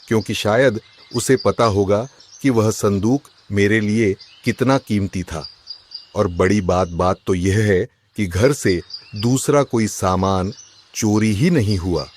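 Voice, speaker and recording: A man's voice: fairly low pitch, a little mellow, a little hiss or hum. A man voicing an accusing, suspicious husband in a cartoon, speaking with emphasis. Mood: brooding suspicion. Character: suspicious, aggrieved husband robbed of his chest. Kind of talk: Hindi cartoon voice acting.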